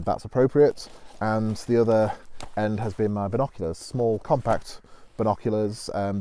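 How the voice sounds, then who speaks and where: British guy in his 30s sat in the woods chatting about his kit, lowish mellow voice, lots of ums. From United Kingdom. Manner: relaxed, practical, with frequent ums and restarts. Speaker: outdoor skills instructor reviewing gear. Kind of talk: casual gear review to camera. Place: autumn woodland, outdoors. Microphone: camera at a distance, seated on the ground.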